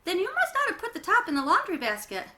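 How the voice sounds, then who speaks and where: Woman putting on an indignant, high-pitched bossy girl. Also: huge pitch swings, fast, bright, forceful tone, heavily acted. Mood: indignant, exasperated. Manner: exasperated, insistent. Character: indignant girl, bossy sister of a young boy.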